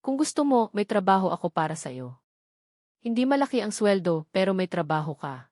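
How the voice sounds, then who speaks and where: A woman storyteller plays a supportive male friend in a romantic drama, frank and helpful. The sound is very dry, the pitch medium for a woman. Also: very animated, fairly quick, darkish tone, no echo, close to an everyday voice. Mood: sympathetic, frank. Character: helpful old friend, man running small construction firm.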